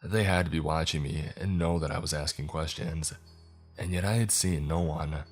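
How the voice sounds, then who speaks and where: A man's voice: a bass voice, steady tone, moderate pace, soft background music. A man reading scripted first-person horror fiction as a narrator, with dramatic, suspenseful storytelling.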